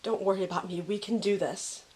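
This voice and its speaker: A woman's voice: mid-range voice, steady tone, brisk, darkish tone, lightly acted. A woman playing a determined, reassuring young team member in a fan audio drama.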